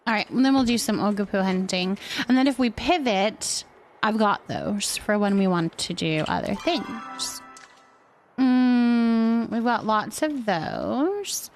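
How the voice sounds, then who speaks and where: A woman chatting casually while streaming a game, thinking out loud as she talks to her viewers. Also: on the high side, animated, takes it slowly, soft background music. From California, United States. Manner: chatty, thinking aloud to herself. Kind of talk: casual gameplay stream chat.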